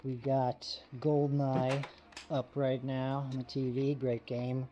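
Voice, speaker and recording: A man playing a lone survivor filming himself in a found footage horror video, talking in a low-key, easygoing way. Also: level tone, slow pace, warm tone, dull audio, a little hiss or hum, lightly acted. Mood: playful. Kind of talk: found footage horror monologue, casual vlog-like narration.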